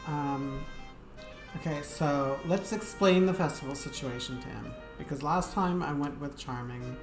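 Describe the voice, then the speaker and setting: Low-voiced woman streamer, chatting casually. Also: measured pace. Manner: rambling, hesitant, with many fillers. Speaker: game streamer. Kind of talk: casual live-stream chat. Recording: echoey room with game music.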